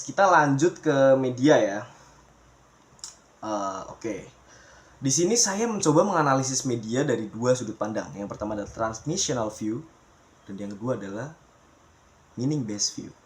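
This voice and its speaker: Chatty young man presenting a class topic. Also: mid-range voice. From Pemalang, Central Java, Indonesia. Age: young adult. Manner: chatty, calls the viewers guys, mixes in English terms. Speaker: university student presenter.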